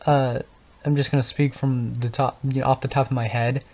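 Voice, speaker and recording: A man's voice: mid-range voice, level tone, brisk, darkish tone. A man talking off the cuff over gameplay, stuttering and stumbling over his words, with lots of fillers as he thinks aloud. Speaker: gaming channel host. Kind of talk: unscripted casual talk over gameplay.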